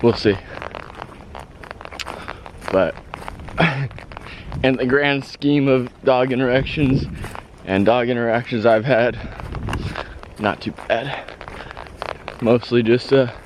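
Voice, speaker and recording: Slow-talking hoarse man on a trail. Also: medium pitch, background noise. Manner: rambling, halting, laughs often. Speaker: hiker vlogger. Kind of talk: casual trail vlog. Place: outdoors on a rural trail.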